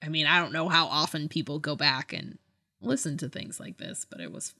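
A woman co-hosting a podcast in casual chat, animated and conversational, often hedging as she talks. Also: low voice, a little fast, gravelly. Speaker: podcast co-host. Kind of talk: casual chat about books and films.

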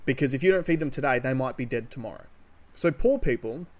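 A man's voice: mid-range voice, lively intonation, fast. A man co-hosting a podcast, giving his opinions in casual, insistent, sweary talk. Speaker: podcast co-host.

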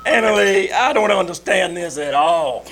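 A man playing a big, slow-witted, bewildered Southern Navy officer in old-time radio comedy, hesitant and stiffly courteous. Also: high voice, animated, creaky, a bit bright, exaggerated voice, low-quality recording.

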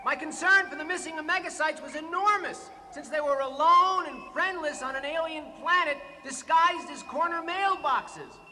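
An actor playing an earnest, self-important hero speaking from afar in a comic radio drama, formal and heartfelt. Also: male voice, very high voice, normal speed, few pauses, pushed and bright, in a large hall, a little hiss or hum, full character voice. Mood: solemn, heartfelt. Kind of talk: comic radio theater acting.

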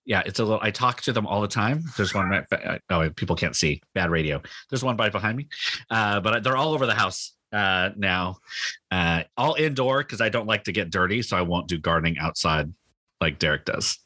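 A man's voice: low voice, animated, fairly quick, pushed and bright, dry recording. A man in his fifties with a Californian accent talks casually in an interview, rambling and joking at his own expense. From Northern California, United States. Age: 50s. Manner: self-deprecating, jokey, rambling. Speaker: pastor, podcast guest.

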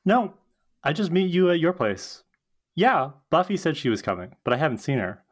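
A woman's voice: low-pitched, big pitch swings, moderate pace. A woman reading the part of an over-eager, earnest young college woman in a script table read.

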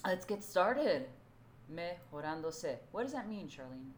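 Hoarse, low-voiced woman host. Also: unhurried, slight background noise. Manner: chatty and animated, with lots of fillers. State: hoarse from yelling at a game. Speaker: podcast co-host. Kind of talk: casual conversational chat.

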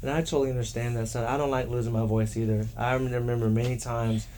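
Even-toned, affectionate man hosting a podcast. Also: medium pitch, fast talker, slight background noise. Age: adult, parent of three. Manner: affectionate, encouraging, repeats himself. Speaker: podcast host. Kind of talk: encouraging devotional talk with children.

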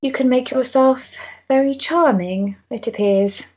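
A woman's voice: high voice, a little slow, a little mellow, breathy edge, highs cut off. An actress playing an earnest, kindly country lady of the Victorian era, genteel and coaxing, in a period stage drama. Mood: earnest, inviting. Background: music.

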